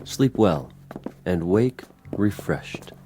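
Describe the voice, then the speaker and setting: An actor playing a courteous, guarded Martian man in a radio drama, formal and deliberate. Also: sits low, expressive, heavy background noise, heavily acted. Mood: polite, cool.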